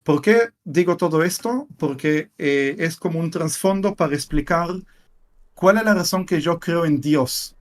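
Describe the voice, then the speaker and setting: A man from Israel whose first language is Hebrew, giving a personal testimony in an interview, speaking haltingly with many fillers and repeated words. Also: a little high. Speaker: interview guest.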